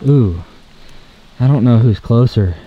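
A man's voice: medium pitch, lively intonation, unhurried, dull audio. A young man chatting casually as he films an archery event outdoors on a rainy mountain, upbeat and self-deprecating. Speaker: vlogger filming an archery event. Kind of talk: casual vlog narration. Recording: outdoor camera audio with loud background noise.

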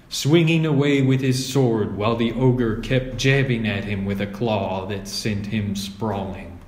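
Guy reading a kids storybook out loud, slow, kinda echoey room. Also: mid-range voice. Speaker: storybook reader. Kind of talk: scripted children's story narration, read aloud. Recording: roomy echo.

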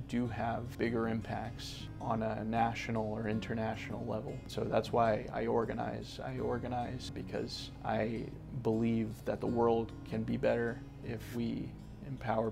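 Flat-voiced young man with a gravelly edge. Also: lowish voice. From Connecticut, US. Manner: earnest, with many restarts and "uh" fillers. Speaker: interview guest, environmental justice organizer. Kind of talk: sit-down interview. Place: office gallery room.

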